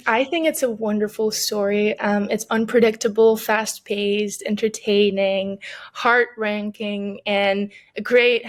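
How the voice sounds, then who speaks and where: A woman, an actress, answering interview questions about her role in a conversational style. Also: a little high.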